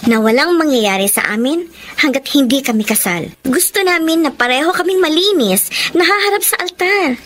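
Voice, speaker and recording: A woman playing an expressive, emotional young woman in love in a Tagalog romantic radio drama. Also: high-pitched, normal speed. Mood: playful, proud. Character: smitten, flustered young woman, devoted girlfriend wavering.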